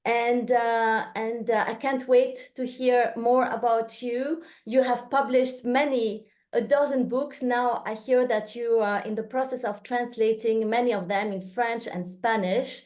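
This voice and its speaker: Slow, halting woman hosting an interview. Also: fairly high pitch, fairly even intonation, darkish tone. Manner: halting, many fillers and restarts. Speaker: host, newsletter editor. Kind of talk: hesitant interview introduction.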